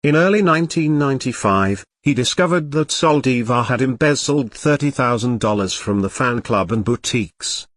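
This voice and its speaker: A man reading a scripted documentary narration in a measured, even storytelling manner. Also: medium pitch, no room sound.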